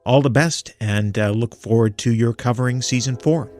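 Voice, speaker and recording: A man giving prepared, articulate commentary as a listener, measured and analytical in his delivery. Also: mid-range voice, music in the background. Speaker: listener sending in a review.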